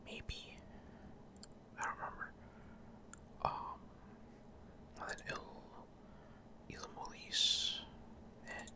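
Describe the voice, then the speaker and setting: A man hosts a gameplay video entirely in a soft, breathy whisper. His ASMR-style commentary comes in short, halting pieces, with pauses in the middle of his sentences. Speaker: gaming video host.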